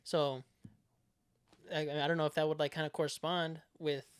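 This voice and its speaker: A man's voice: fairly high pitch, flat delivery, moderate pace, clear, resonant tone, dry recording. A young man born in Mexico, co-hosting a podcast in loose, rambling casual chat, laughing often and swearing freely. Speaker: podcast co-host.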